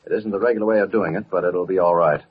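An actor playing a smug, crooked ward boss with brash, slangy talk in an old-time radio drama. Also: male voice, deep voice, steady tone, very fast, husky. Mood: smooth persuasion. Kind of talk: 1930s-40s radio drama acting. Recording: dull, muffled old radio recording.

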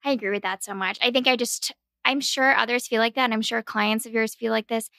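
Creaky, bright-voiced American woman, about thirty. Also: a little high, fairly even intonation, brisk, no room sound, studio quality. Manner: candid, informal. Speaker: podcast host, Navy service member. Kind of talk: casual reflective conversation.

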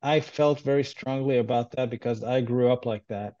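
Mellow-voiced man. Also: mid-range voice, fairly even intonation, normal speed, dull audio. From Israel. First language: Hebrew. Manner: hesitant, many ums and restarts. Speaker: translator, guest. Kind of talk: casual interview talk.

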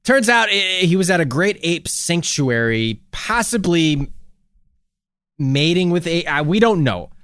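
A man's voice: fairly high pitch, expressive, unhurried, a bit bright, smooth, dry recording, clean studio sound. A man with a Chicago accent co-hosting a podcast in a rambling, joking way. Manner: jokey, rambling, self-interrupting. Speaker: podcast co-host. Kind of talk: casual comedic chat.

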